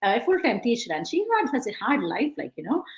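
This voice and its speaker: A woman's voice: a little high, sing-song, quick pace. A woman hosting a live-stream interview over a video call, chatty and full of "like" and "you know". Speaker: host. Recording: roomy echo.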